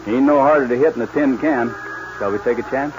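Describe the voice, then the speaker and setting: A man's voice: medium pitch, fast, lightly acted. Male actor as young American cowboy hero, bold, folksy, vintage radio western with dull lo-fi sound. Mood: bold, determined. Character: bold, resolute young cowboy ranch hand. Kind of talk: 1940s radio western acting. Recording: muffled, hissy 1940s radio recording.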